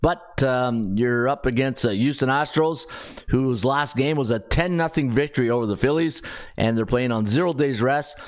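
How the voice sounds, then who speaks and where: A middle-aged man hosting a sports betting podcast, his voice raspy and strained, rambling through odds with fillers and self-corrections. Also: medium pitch, normal speed. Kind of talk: casual sports betting rundown.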